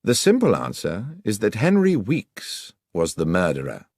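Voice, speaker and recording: A man voicing a brisk, assured detective who explains his deductions precisely and with authority, as audiobook dialogue. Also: on the low side, wildly swooping pitch, creaky, husky, dry recording, studio quality, full character voice. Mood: assured, explanatory.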